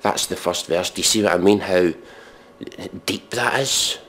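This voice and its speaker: A man giving mock dramatic readings and sardonic commentary in a deadpan, exasperated, sweary manner. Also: sits low, unhurried. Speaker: comedic commentator.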